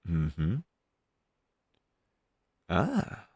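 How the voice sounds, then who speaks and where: Male actor for a demon crow familiar, fantasy roleplay audio: helpful explainer, animated intonation, dry close sound. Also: low voice, very slow, breathy edge, no room sound, heavily acted. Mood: curious, friendly. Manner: conversational, explanatory, reassuring, with thoughtful hums. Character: friendly male demon crow familiar advising a young witch.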